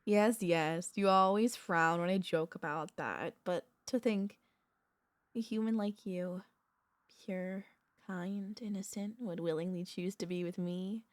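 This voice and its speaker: A woman voicing a centuries-old vampire mistress, a tender, possessive girlfriend, speaking softly and intimately with courtly wording and hesitant pauses in an ASMR roleplay. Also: on the low side, dry recording, lightly acted. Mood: tender, confiding. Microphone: close studio microphone, dry.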